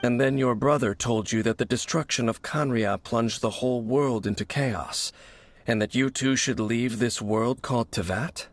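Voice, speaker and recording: An actor playing a mysterious, reserved warrior in a video game, grave and gravelly, speaking in a solemn, formal, measured way. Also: male voice, natural voice. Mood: pensive. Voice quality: low, gravelly. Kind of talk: dramatic video game character acting. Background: background music.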